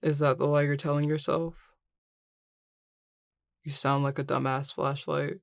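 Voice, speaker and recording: Woman voicing a low, sardonic, grumpy young man. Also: flat delivery, measured pace, gravelly, no room sound, clean studio sound. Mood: sardonic, irritated. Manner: curt, sarcastic, exasperated sighing. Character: grumpy, sardonic young male tsundere coworker. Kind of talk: scripted audio roleplay acting.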